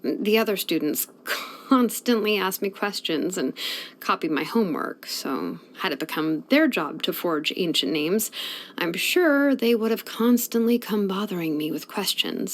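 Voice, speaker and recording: A laid-back young blacksmith woman from a video game, easygoing and a little sleepy. She talks in a relaxed, drawling, casual way at a middle pitch, dropping in easy "yeah"s.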